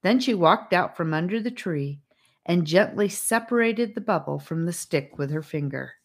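Female audiobook narrator, low register, scripted storytelling for a children's fantasy. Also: expressive, normal speed.

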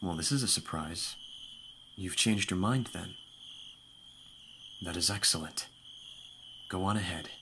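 A man voicing an aristocratic young vampire master, commanding yet doting, formal and measured, in an audio roleplay. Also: low voice, bright, forceful tone, a little hiss or hum, exaggerated voice. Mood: encouraging. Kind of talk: ASMR audio roleplay acting. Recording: music behind the voice. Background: background music.

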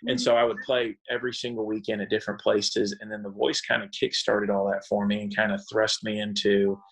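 A man in his thirties with a low, gravelly voice talks easily about his songwriting and his path into music. He is from Texas and the Dominican Republic, and he fills his pauses with "um" and "you know." His tone stays laid-back and friendly throughout. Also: flat delivery, fairly bright tone, rough recording. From born in Texas, raised in the Dominican Republic. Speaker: country singer-songwriter, guest. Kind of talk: relaxed interview chat.

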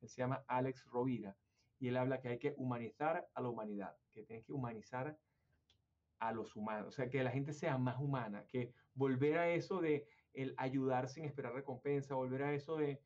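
A man from Venezuela hosting a conversational interview, musing at length and circling back with fillers and restarts. His voice sits at an ordinary pitch, and the recording sounds somewhat dull and lo-fi. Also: fairly even intonation, normal speed, darkish tone. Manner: rambling, hesitant with many fillers and restarts. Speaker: interview host.

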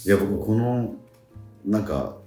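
Male radio host, low and animated, casual talk delivery, music behind. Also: slow, roomy sound. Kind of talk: casual talk show hosting. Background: background music.

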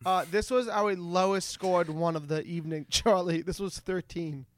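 A man's voice: high voice, steady tone, normal speed. A man hosting a casual comedic movie podcast in an informal, teasing way, stumbling over his words now and then. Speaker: podcast host. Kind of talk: casual comedic panel chat about movies.

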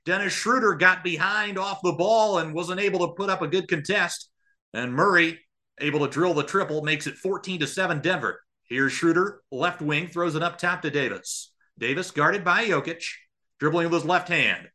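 A man's voice: on the high side, normal speed, pushed and bright. A man calling live basketball as a radio play-by-play commentator, describing the action in short running phrases and adding analysis. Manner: present-tense running call in short clipped phrases.

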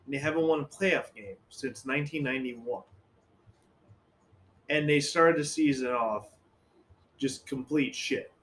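A man's voice: medium pitch, unhurried, long pauses, fairly bright tone, a little hiss or hum. A young man chatting bluntly and swearing a lot in casual podcast talk. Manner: profane, blunt, teasing. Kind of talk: casual chat.

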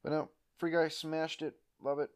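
A man's voice: on the high side, moderate pace, clean tone. A young man from Canada co-hosting a casual podcast, ranting in jokes and laughing often. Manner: animated, laughs often, jokey rants. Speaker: podcast co-host. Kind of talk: casual chat.

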